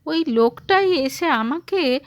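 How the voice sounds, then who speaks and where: Earnest, wronged young assistant for audio drama woman performer: high, animated, pleading yet courteous. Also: takes it slowly, no room sound. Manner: pleading, indignant.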